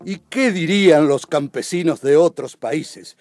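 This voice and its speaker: An actor playing an impassioned advocate in a radio drama courtroom, denouncing injustice with emphatic oratory, rhetorical questions and repetition. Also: male voice, fairly high pitch, a little slow, music in the background, lightly acted. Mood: grave, urgent.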